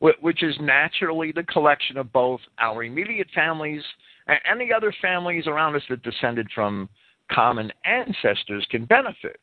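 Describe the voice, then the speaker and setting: Male talk host, animated pitch, repeated stammered phrase openings, opinionated commentary, very dry, thin band-limited line. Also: a little fast, no room sound. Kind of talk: opinionated discussion, part prepared commentary. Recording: band-limited, lo-fi internet radio sound.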